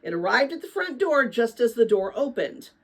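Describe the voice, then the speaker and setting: Female storyteller for middle-grade read-aloud. Animated intonation, husky edge, dramatic but conversational. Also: on the high side, normal speed. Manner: expressive, chatty when commenting to listeners. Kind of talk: dramatic children's book read-aloud with casual asides.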